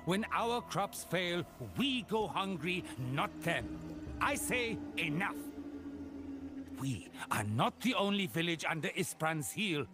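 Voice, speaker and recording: A man's voice: high-pitched, animated, music in the background. A man playing an impassioned village rabble-rouser in a fantasy game, defiant and oratorical. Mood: rousing, defiant. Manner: oratorical, deliberate. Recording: game score behind.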